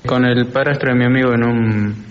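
An Argentine teenage boy gives plain interview answers with very little rise and fall. His voice is mid-pitched. The classroom recording is muffled and reverberant. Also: steady tone, moderate pace. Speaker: student interviewee. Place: school classroom. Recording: dull, echoey classroom recording.

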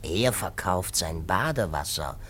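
An actor in a radio play playing a polite, slightly hesitant art dealer with a taste for gossip. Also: male voice, low voice, lively intonation, a little slow, a bit bright, a little hiss or hum. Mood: gossipy.

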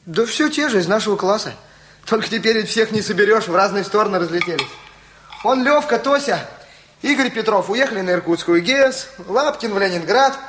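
Polite teen boy in a radio drama, pretty high voice, level headed, kinda guarded. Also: expressive, fairly quick, fairly bright tone, slight background noise. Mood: matter-of-fact, rueful. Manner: polite but guarded and blunt. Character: level-headed, loyal teenage boy just out of school. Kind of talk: Russian radio play acting.

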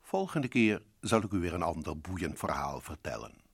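Radio horror host: macabre gentleman storyteller, very low, swooping intonation, sardonic and mock-cosy. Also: big pitch swings, moderate pace, creaky, slightly rough, dry recording, studio quality, exaggerated voice. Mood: sly, mock-cosy. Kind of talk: theatrical radio horror hosting.

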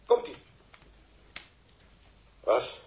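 A man's voice: drawn-out words, darkish tone. A man playing a distraught, pleading father at ground control in a 1960s Dutch radio drama, stammering and overwrought, with a Netherlands accent. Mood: anxious, stunned.

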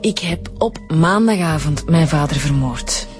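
An actress with a Flemish accent playing a stubborn, guarded daughter under questioning in a radio drama. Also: female voice, deep voice, animated, a little slow, over music. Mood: stubborn, bitter. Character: murdered doctor's evasive, stubborn daughter.

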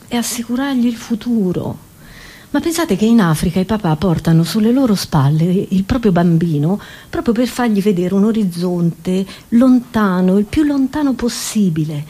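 A woman's voice: mid-range voice, dark tone, slightly rough. A woman comedian with an Italian accent delivers a satirical monologue as a radio host, conversational and ironic, tossing in rhetorical questions.

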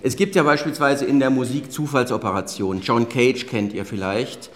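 A man's voice: mid-range voice, moderate pace, a bit bright, slight background noise. A man who makes radio plays, explaining his work in casual, hesitant talk. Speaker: radio play maker being recorded in conversation.

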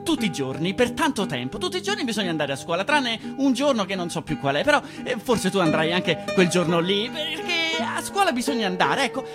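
A man's voice: high voice, very animated, a little fast, hardly any pauses, over music. An actor playing an agitated, overbearing father in a radio play, stammering, repeating himself and insisting as he talks to his little girl. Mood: insistent. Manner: stammering, repetitive, insistent, swinging between coaxing and scolding. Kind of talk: theatrical radio play dialogue.

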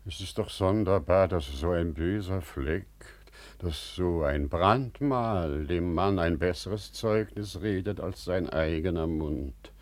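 An actor playing a wise, warm-hearted older merchant and father in a 1950s German radio drama, with earnest, declamatory, measured phrasing. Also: low voice, no room sound. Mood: gently persuasive.

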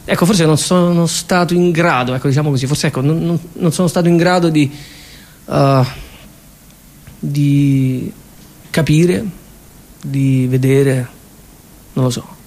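A man playing a rueful man who speaks haltingly to his partner in a stage piece. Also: on the high side, pitched below the performer's own voice. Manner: halting, hesitant, soft.

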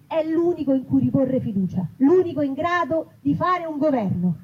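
A woman's voice: high voice, big pitch swings, moderate pace. A woman playing a blunt, grumpy, distrustful woman waiting for a bus, in a live reading. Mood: irritable. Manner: blunt, irritable. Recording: muffled live recording with noise.

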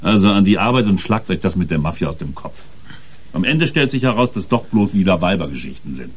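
An actor playing a dismissive, blustering police boss with a low husky voice, scornful and emphatic, in a radio crime drama. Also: male voice, lively intonation, fairly quick. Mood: scornful, commanding.

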